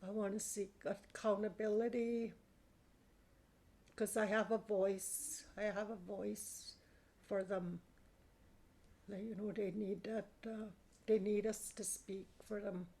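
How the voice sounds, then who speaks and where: Woman survivor giving testimony: low-key, gravelly delivery, even and unhurried. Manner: slow, earnest, halting with pauses. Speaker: residential school survivor. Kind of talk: personal testimony.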